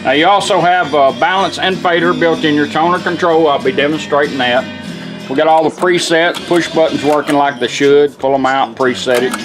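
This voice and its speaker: Man, fairly high voice, folksy, explanatory, casual shop demo, music in background. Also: a little fast. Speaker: radio restorer demonstrating a product. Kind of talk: casual product demonstration.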